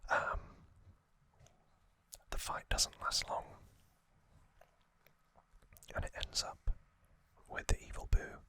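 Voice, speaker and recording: Slow, whispering male ASMR host. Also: sharp tone. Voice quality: breathy, unvoiced whisper. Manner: slow, halting delivery in short phrases. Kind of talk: whispered ASMR trivia recap.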